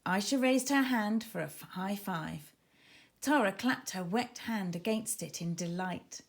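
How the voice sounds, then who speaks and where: A woman's voice: medium pitch, measured pace, vocal fry. A woman narrating a children's story expressively, with dramatic emphasis as she reads. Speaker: author reading own children's book.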